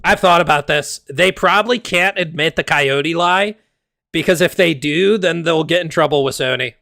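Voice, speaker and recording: A male streamer rants in a heated, exasperated way, cursing freely as his voice goes hoarse. His voice sits a bit high with a gravelly fry. The sound is dry and close, with no room echo. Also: moderate pace, bright, forceful tone. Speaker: game streamer. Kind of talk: casual livestream rant.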